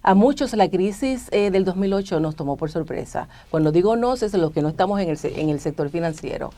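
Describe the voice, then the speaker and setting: A woman's voice: fairly low pitch, expressive, fairly quick, mellow. A woman, a stockbroker answering interview questions, hesitant with many fillers. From Puerto Rico. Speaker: stockbroker guest.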